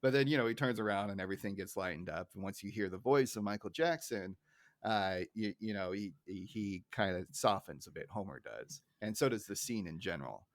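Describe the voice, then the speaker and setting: A man's voice: on the low side, expressive, brisk. Chatty man co-hosting a podcast. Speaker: podcast co-host. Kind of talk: casual podcast chat, recapping a cartoon episode.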